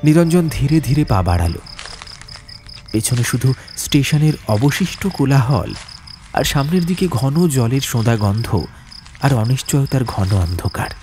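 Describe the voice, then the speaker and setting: A man narrating a horror audiobook as a storyteller, with suspenseful, atmospheric delivery and deliberate phrasing. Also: medium pitch, warm tone, a little hiss or hum.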